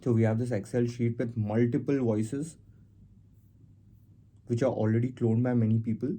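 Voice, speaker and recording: Man with a very dark, muted voice. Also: mid-range voice, little pitch movement, normal speed. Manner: step-by-step explaining. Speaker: tutorial host. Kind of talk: casual screen-recorded software walkthrough.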